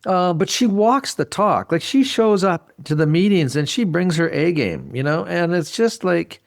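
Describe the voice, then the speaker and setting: A man's voice: sits high, animated, moderate pace, vocal fry. A man hosting a podcast in casual conversation, speaking in an enthusiastic, rambling way and often repeating himself. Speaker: podcast host.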